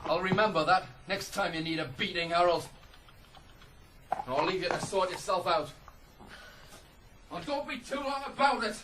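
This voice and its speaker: An actor playing a proud, gruff farm wagoner with a blunt, needling manner in a 1980s radio drama. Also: male voice, sits high, moderate pace, pauses often, noisy background, lightly acted. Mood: scornful.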